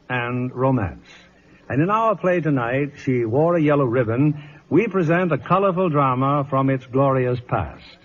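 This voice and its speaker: A man presenting a scripted 1950s radio show in a formal, courtly manner, with a husky voice. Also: on the high side. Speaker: radio producer and host. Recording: dull old radio broadcast recording.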